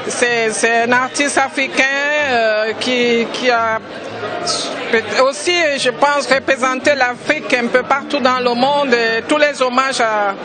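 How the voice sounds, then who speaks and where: A woman's voice: on the high side, fairly even intonation, measured pace. A woman from Cameroon, a blogger, answers interview questions earnestly and hesitantly outdoors at a festival. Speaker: blogger and NGO president. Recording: noisy crowd around. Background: crowd chatter.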